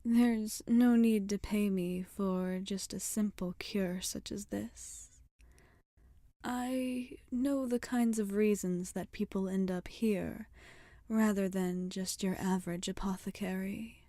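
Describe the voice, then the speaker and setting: A kindly witch healer, acted by a woman, speaks in a soft, breathy near-whisper for an ASMR roleplay. The delivery is very unhurried and mellow, with tender, formal wording. The sound is close and dry. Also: mid-range voice, fairly even intonation, no room sound. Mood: reassuring, kind. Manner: slow, soothing, formal and tender phrasing. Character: gentle, caring witch healer tending a customer.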